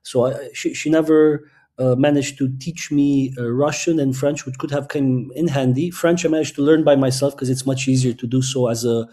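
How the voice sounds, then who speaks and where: Warm-voiced man, thoughtful guest. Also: medium pitch, steady tone, moderate pace. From Romania. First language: Romanian. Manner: thoughtful, explains at length with frequent restarts. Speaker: podcast guest. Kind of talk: casual interview talk.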